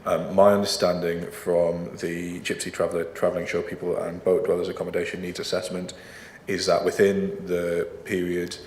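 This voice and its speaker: A man's voice: deep voice, completely flat delivery, moderate pace, darkish tone, roomy sound, in a large hall, slight background noise. A man working as a planning officer, explaining procedure formally and carefully, with frequent ums. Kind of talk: explaining procedure in a council meeting.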